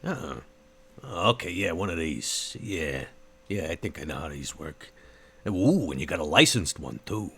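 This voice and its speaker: A man playing an easygoing, streetwise male vampire in a tabletop roleplay, slangy, wry and husky. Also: low-pitched, big pitch swings, unhurried, fairly bright tone, exaggerated voice. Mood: curious, breezy.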